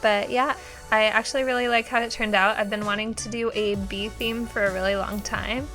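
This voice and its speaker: A woman's voice: medium pitch, fairly even intonation, fairly quick, fairly bright tone, soft background music. A young woman who sounds nasal as she gets over a cold, chatting casually with many ums and likes as she narrates her journal spread. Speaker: bullet journal vlogger.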